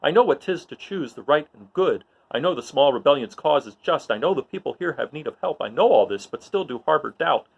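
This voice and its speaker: A man's voice: fairly high pitch, animated, a little fast, creaky, hardly any pauses, breathy edge, full character voice, poor audio. A man voicing a proud, roguish smuggler pilot torn by conscience, in a brooding, declamatory Shakespearean soliloquy. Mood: conflicted, resolute.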